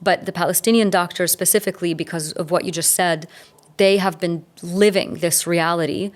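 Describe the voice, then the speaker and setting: A woman's voice: fairly low pitch, fairly even intonation, normal speed. A woman hosting a podcast, asking thoughtful, hesitant questions in a conversational interview. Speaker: podcast host.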